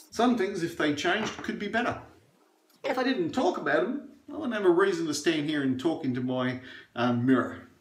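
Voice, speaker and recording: A man's voice: fairly high pitch, slight background noise. A middle-aged man chatting casually while he shaves, opinionated and rambling with plenty of fillers. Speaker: shaving reviewer. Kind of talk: casual chat while shaving. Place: small bathroom indoors. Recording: echoey bathroom.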